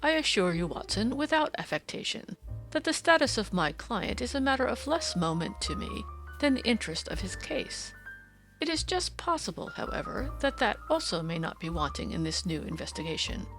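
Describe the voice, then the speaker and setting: A woman voicing a keen, assured Victorian gentleman detective in an audiobook, formal and precise in speech. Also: on the high side, sing-song, normal speed, music in the background, low-quality recording. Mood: brisk, pleased. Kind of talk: audiobook character dialogue.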